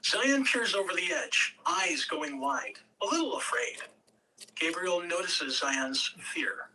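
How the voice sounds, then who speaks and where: A woman's voice: lowish voice, very animated, takes it slowly, sharp tone, far from the mic, poor audio. A woman reading stage directions as scripted narration at a table read.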